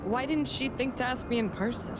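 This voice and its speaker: A woman's voice: on the high side, a little fast, heavy background noise. Playful woman in a mock-haughty voice. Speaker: streamer in a game party. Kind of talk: reading game dialogue aloud in a put-on voice, laughing with friends. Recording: loud game music behind.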